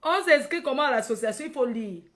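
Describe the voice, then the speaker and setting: High-voiced woman with a Cameroonian accent. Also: lively intonation, fairly quick, fairly bright tone. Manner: calls out to viewers by name, repeats phrases, tags questions. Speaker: livestream host. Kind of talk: casual live chat addressed to followers.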